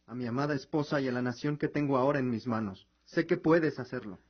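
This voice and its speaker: A man's voice: medium pitch, fast talker, close to an everyday voice. A man playing an earnest, idealistic young prince and son in a scripted audio drama. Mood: earnest pleading. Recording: dull lo-fi recording.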